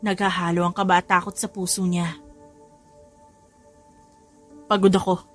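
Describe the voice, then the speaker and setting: A woman's voice: fairly low pitch, level tone, fast. A woman giving a scripted, dramatic narration of a story as an audiobook narrator. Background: background music.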